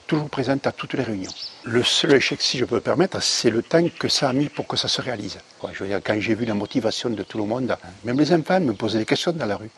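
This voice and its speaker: A man giving informal interview testimony as a local elected official. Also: medium pitch. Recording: noticeable ambient background noise.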